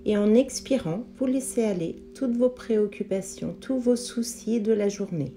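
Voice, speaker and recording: Female yoga nidra guide, medium pitch, calm and instructive, measured phrasing, meditation music clearly underneath. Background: meditation music with singing bowl tones.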